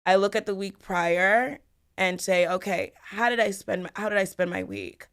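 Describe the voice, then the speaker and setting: A woman's voice: mid-range voice, animated, moderate pace. A woman from the Bahamas and Florida answering interview questions as a guest in a reflective, conversational way. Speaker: podcast guest, family law attorney.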